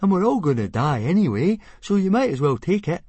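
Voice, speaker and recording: A man voicing an excitable boy who eagerly backs his friend, high and loud. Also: fairly quick, slightly rough, dry recording, full character voice.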